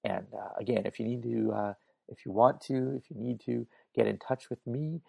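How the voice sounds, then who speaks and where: A man hosting a casual solo podcast, hoarse and raspy, chatting informally and thinking aloud on a makeshift mic setup. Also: mid-range voice, moderate pace, mellow, dry recording. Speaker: podcast host. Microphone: makeshift setup without proper recording gear.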